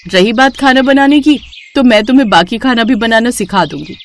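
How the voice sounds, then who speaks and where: A woman's voice: fairly high pitch, brisk, natural voice. A woman voicing a practical, knowing elder sister-in-law in a cartoon story, composed and matter-of-fact. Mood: reassuring.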